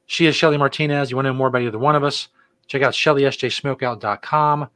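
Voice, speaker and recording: A middle-aged man co-hosts a casual podcast in a relaxed, joking way, drifting from one announcement to the next. His voice sits at an ordinary pitch for a man. The sound is dry and close, with no room around it. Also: fast, no echo. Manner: laid-back, joking, rambling. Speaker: podcast co-host. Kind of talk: casual chat.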